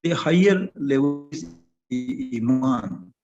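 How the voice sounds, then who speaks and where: An older man with an Indian English accent, giving a thoughtful academic talk as a panel speaker, pausing often and filling gaps as he searches for words. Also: on the high side, lively intonation, over loud music. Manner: halting, thoughtful, with many pauses and fillers. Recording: slightly muffled lo-fi recording.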